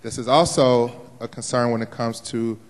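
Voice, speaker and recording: A man speaking at a school board public comment in a formal, measured way. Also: medium pitch, steady tone. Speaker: parent giving public comment. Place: large auditorium. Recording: reverberant hall.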